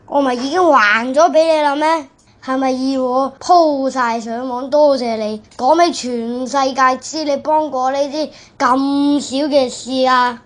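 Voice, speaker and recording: A woman playing a stern high-voiced squad captain. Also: fairly even intonation, unhurried. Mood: indignant. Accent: Cantonese. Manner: bossy, scolding. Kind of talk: amateur church skit.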